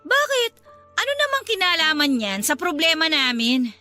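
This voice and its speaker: A woman's voice: very high-pitched, big pitch swings, moderate pace, sharp tone, no room sound, heavily acted. A woman playing a stressed, irritable young female student and older sister in a Filipino radio drama, with a Filipino accent. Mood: fed up. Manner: irritable, complaining, emphatic. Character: exasperated twenty-year-old female pre-med student, stressed older sister. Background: music.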